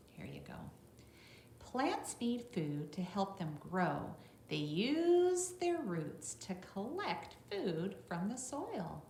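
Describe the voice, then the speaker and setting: Middle-aged woman, teacher read-aloud, slightly low pitch, animated intonation, instructive question tags, echoey room with faint noise. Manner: instructive, often checking in with tag questions. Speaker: teacher reading aloud to young children. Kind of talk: picture-book read-aloud with explanatory asides. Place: classroom, indoors. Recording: roomy echo, faint background noise.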